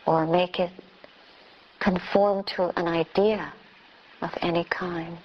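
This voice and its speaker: A woman who is a Buddhist nun leading a guided meditation, speaking in short phrases with long pauses. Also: lowish voice, steady tone, lo-fi sound.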